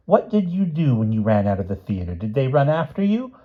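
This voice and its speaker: A man voicing a small, mild, absent-minded gentleman in a storybook, with polite, measured, old-fashioned phrasing. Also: mid-range voice, very animated, narrow, tinny audio, voice pushed up. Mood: curious, mild.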